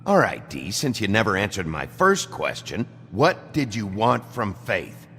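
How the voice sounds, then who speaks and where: Very deep, gravelly detective. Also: male voice, huge pitch swings, measured pace, a bit bright, soft background music, heavily acted. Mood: dry, needling. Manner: terse, dry, controlled. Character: gruff, dogged male detective pressing a suspect. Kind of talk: video game voice acting.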